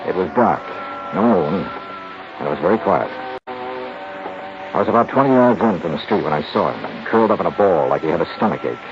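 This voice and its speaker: Muffled old radio drama with music: a wry, tough American detective, expressive delivery. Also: male voice, deep voice, a little fast, long pauses. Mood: dry, wry. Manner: clipped, hard-boiled. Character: tough, wry male insurance investigator narrating his case. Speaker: radio actor in the lead role. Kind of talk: 1950s radio detective drama acting and narration.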